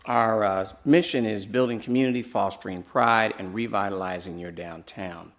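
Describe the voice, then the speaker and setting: A soft-spoken man with a Midwestern American accent, giving a presentation at a city council meeting through a podium microphone, with many hesitant fillers. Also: on the low side, moderate pace. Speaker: downtown nonprofit presenter.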